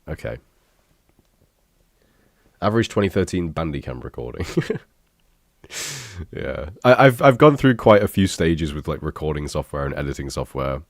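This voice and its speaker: A young man with an extremely deep voice, thick and stuffy from a cold. He rambles casually and pokes fun at himself, dropping the odd swear word. The sound is dry and close, with no room echo. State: congested, bunged up from illness. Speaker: youtuber reacting to his old videos. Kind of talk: casual reaction commentary.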